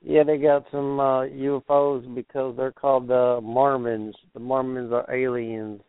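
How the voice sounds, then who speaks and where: A man from Kentucky phoning in to a radio show, chatting casually and jokingly in a halting, deadpan way. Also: medium pitch, smooth. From Kentucky, United States. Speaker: phone-in caller. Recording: narrow telephone line.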